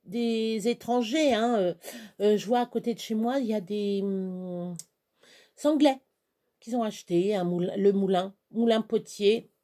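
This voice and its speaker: Older french lady from touraine, slow and halting, lots of ums, soft dark voice. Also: medium pitch. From Touraine, France. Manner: hesitant, many fillers and restarts. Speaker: craft vlogger. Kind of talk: rambling casual chat.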